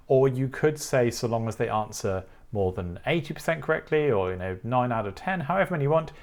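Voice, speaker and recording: A man's voice: mid-range voice, a little mellow. A man hosting an electronics tutorial, narrating each step in an informal, methodical, explanatory way. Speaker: host of an electronics tutorial channel.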